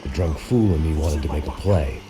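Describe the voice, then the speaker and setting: Very deep, weary American antihero. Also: male voice, brisk, over loud music. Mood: brooding. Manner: world-weary, sardonic, terse. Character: weary, bitter, hard-drinking American gringo, self-appointed bodyguard. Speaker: narrating protagonist. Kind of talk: hardboiled noir voice-over narration.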